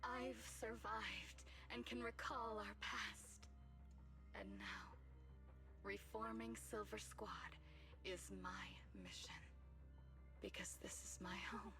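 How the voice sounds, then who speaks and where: A woman playing a brooding, obsessive wounded soldier villain in a video game, speaking in slow, deliberate, dramatic monologue. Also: medium pitch, big pitch swings, vocal fry, pushed and bright, full character voice. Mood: solemn, fervent. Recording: music playing behind the voice.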